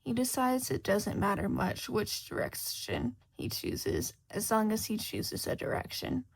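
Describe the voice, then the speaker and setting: A woman's voice: mid-range voice, wildly swooping pitch, unhurried, vocal fry, breathy edge. A woman reading a scripted fiction story aloud as its narrator. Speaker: podfic narrator.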